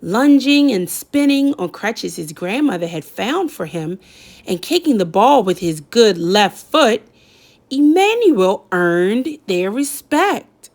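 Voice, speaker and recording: A woman reading a children's picture book aloud in an expressive storytelling style, pausing for effect. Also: mid-range voice. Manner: slow, lively storytelling with dramatic pauses. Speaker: read-aloud narrator.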